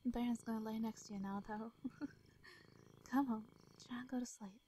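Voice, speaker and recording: A woman with a Southern accent voicing a shy, caring hermit farmer woman in a comforting audio roleplay. She speaks softly, stammers and laughs lightly. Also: fairly high pitch, fairly even intonation, a little slow, slight background noise. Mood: tender.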